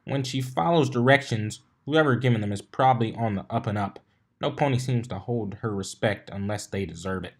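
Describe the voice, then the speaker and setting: A man's voice: fairly low pitch, a little fast. Man voicing folksy female farmer pony. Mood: reassuring. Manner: folksy, plain-spoken, comforting. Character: easygoing, reassuring female farmer pony with country speech.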